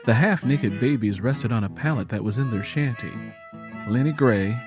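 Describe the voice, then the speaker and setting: A man's voice: mid-range voice, moderate pace. Male storyteller over music. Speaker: narrator. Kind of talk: scripted audio story narration.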